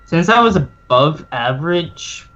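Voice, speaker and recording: A man's voice: fairly high pitch, animated, a little slow. Man running a tabletop game, muffled noisy mic. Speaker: game master. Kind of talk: casual tabletop game talk. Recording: muffled mic with noise and clicks.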